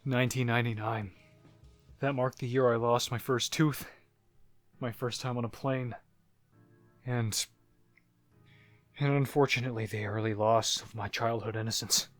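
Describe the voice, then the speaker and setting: A man voicing a haunted narrator who looks back on disturbing childhood memories in a first-person horror story, halting and reflective, like a confession. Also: mid-range voice, steady tone, dry recording, close to an everyday voice. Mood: somber, haunted. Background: music.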